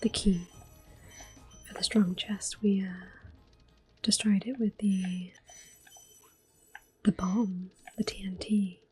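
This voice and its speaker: A woman whispers softly and breathily through a video game as an ASMR host. Her voice is dark and mellow, gentle and unhurried, with faint music behind it. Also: medium pitch, flat delivery.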